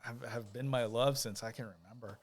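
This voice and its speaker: A man's voice: mid-range voice, fairly even intonation, a little fast. A man talking casually as a guest on a podcast, easygoing and rambling, with plenty of fillers and restarts. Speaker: guest, judicial candidate.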